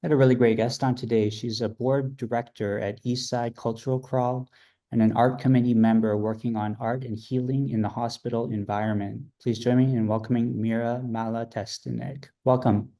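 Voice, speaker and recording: A man hosting a podcast, working through a numbered list of questions in a brisk, steady way. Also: medium pitch. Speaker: podcast host.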